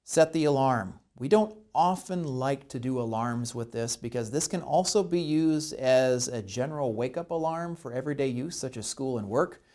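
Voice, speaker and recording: A man presenting a step-by-step instructional demonstration, explaining each step deliberately. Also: mid-range voice, a little mellow.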